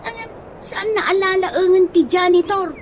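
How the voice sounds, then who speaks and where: A woman's voice: very high-pitched, normal speed, a little hiss or hum. A woman playing a wife who questions and reasons with her husband in an Ilocano comedy radio drama. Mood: questioning.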